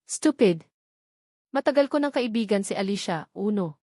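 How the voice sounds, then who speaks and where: A woman's voice: medium pitch, lively intonation, normal speed, warm tone, no echo, clean studio sound, close to an everyday voice. A woman with a Filipino accent voicing an anguished, stubborn young mother in a novel reading. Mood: defensive, annoyed. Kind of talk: dramatized novel dialogue.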